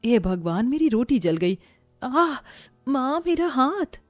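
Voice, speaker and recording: A woman's voice: high-pitched, big pitch swings, slow, dry recording, soft background music, pitched above the performer's own voice, exaggerated voice. Woman as meek young village wife, slightly raised. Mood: pained. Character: meek, self-sacrificing poor young daughter-in-law.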